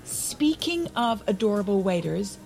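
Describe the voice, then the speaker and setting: A woman's voice: mid-range voice, sing-song, measured pace, vocal fry. A woman playing a brash, gossipy married friend in an audio drama, talking in a knowing, blunt, teasing way. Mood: gossipy, gleeful. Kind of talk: naturalistic audio drama dialogue. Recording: music playing behind the voice.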